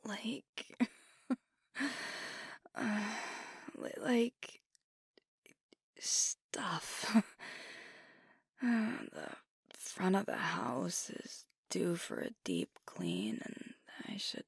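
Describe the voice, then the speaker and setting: A woman's voice: fairly low pitch, wildly swooping pitch, very slow, vocal fry, long pauses, husky, no echo, clean studio sound, exaggerated voice. A woman voicing a sleepy young werewolf girlfriend in an ASMR roleplay, talking in drowsy half-whispers with hesitations. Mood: sleepy, teasing.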